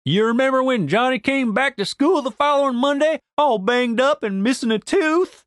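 A narrator voicing a brash, foul-mouthed young country woman with a blunt, excitable, folksy drawl, as audiobook character dialogue. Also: sits high, moderate pace, dry recording, studio quality, voice pushed up, close to an everyday voice. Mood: sheepish, defiant.

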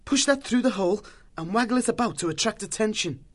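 Earnest young mouse hero, put on by a male narrator, lifted voice, lively pitch. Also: fast. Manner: earnest, taking charge. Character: brave young male mouse warrior, a leader. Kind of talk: audiobook character voice.

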